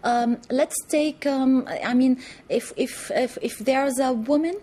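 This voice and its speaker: A woman from Lebanon, answering interview questions thoughtfully, with many pauses, fillers and restarts, in a TV studio. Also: high voice, dark tone. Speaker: interview guest, author.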